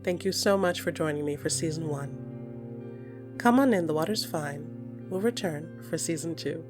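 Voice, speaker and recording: A woman narrating scripted second-person fiction in an intimate, dramatic way, with a breathy voice that often drops into whispers and deliberate short phrases. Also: low-pitched, music in the background. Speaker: podcast creator and narrator.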